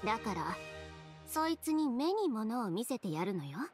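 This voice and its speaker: A woman playing a cool, proud, sharp-tongued young seamstress in a game, curt and self-assured. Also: a little high, big pitch swings, moderate pace, soft background music. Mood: curt, confident.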